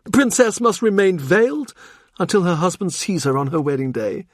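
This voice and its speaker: A man's voice: high-pitched, very animated, normal speed, no room sound, studio quality. A man voicing an urbane, shrewd older cardinal who reminisces in a genial, confiding way. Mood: reminiscing. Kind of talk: audiobook character reading.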